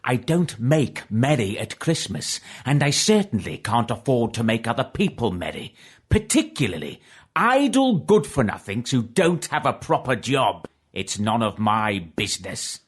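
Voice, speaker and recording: An actor playing a grumpy, miserly old businessman with a rough, husky voice in a children's audiobook. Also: medium pitch, very animated, unhurried. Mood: cold, irritated. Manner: curt, scornful, grumbling.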